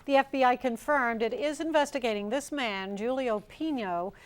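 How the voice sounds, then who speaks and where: Higher-pitched female TV news anchor. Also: normal speed. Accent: American. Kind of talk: scripted newscast reading.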